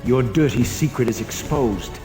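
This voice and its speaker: An actor playing a bitter, brooding undead vampire wraith in a video game, speaking in formal, scornful, archaic phrases. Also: male voice, mid-range voice, moderate pace, over music, natural voice. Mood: defiant, contemptuous. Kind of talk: dramatic video game acting.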